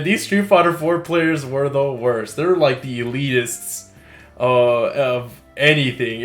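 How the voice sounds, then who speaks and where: A man chatting casually as a podcast co-host. His talk is loose and upbeat, full of "like" and "right?". Faint music sits under his voice. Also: mid-range voice. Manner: chatty, filler-heavy, upbeat. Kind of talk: casual chat.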